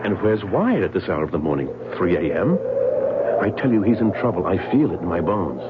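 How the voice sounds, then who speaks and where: An actor in an old-time radio drama playing an earnest, protective husband, urgent and given to flustered stammers. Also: male voice, low-pitched, big pitch swings, brisk. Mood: urgent worry. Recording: noisy, dull old radio drama recording.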